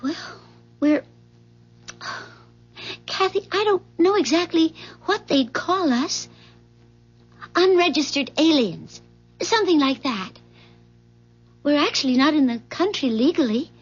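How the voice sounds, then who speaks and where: Timid, high-voiced neighbor. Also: female voice, expressive. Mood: reluctant, anxious. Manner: halting, reluctant, guarded. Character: timid, secretive foreign neighbor woman. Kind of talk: 1970s radio drama acting. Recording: muffled vintage radio broadcast.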